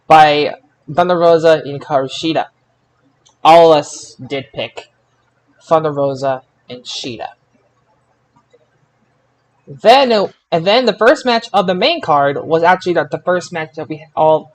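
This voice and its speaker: A man with a fairly high voice hosts a casual sports recap podcast. He talks haltingly, doubling back on words as he pieces details together. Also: rough recording. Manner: halting, repeats words while recalling details. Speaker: podcast host. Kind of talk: casual wrestling results recap.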